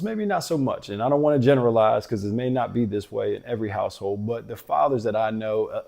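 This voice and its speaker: A man with a deep voice, hosting a show and talking earnestly to fathers in a reflective, heartfelt monologue. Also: gravelly, darkish tone. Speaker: podcast host.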